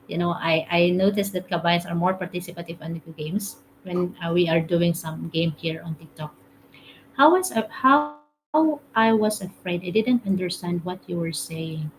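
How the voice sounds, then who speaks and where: A woman's voice: on the low side, moderate pace, a little hiss or hum, poor audio. A woman from the Philippines with a Filipino accent, hosting a casual live stream, reading comments aloud, chatting and laughing often. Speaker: TikTok live host. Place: home room, currently in UAE.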